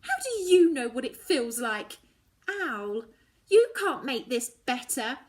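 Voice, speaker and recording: A woman's voice: high-pitched, big pitch swings, slow pace, pitched above the performer's own voice, exaggerated voice. A woman voicing a distressed, defiant young girl with emotional, wailing outbursts in a children's story reading. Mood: angry, demanding. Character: distressed young girl frightened of her changing self, defiant. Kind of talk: dramatic children's novel reading.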